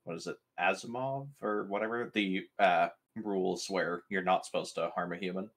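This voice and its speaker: A man's voice: low voice, normal speed, a bit bright. A man talking casually as a player in a tabletop game. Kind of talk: casual chat.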